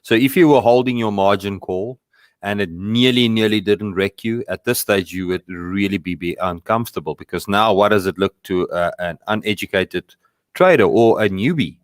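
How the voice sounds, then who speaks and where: Deep-voiced Afrikaans man talking markets. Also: no room sound, studio quality. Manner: deliberate, hesitant, explaining. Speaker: guest trader.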